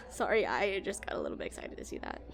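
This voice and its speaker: A woman's voice: mid-range voice, wildly swooping pitch, fast, gravelly. A woman playing an awkward, shy female game developer on a dinner date in a romantic audio roleplay, flustered and hesitant. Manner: flustered, hesitant, stammering, giggly.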